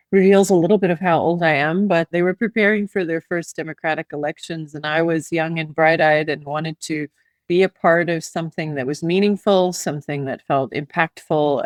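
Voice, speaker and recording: A woman's voice: low voice, no echo. A woman in her fifties with an American Midwestern accent, thoughtfully telling her story as an interview guest. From Midwestern United States. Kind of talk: interview answers.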